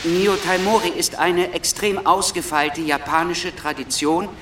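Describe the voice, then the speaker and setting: A male actor reciting slowly and formally in a radio drama, in a distant echoing recording with noise. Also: sits high, steady tone, fairly bright tone. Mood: solemn. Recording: echoey, distant, noisy ambience.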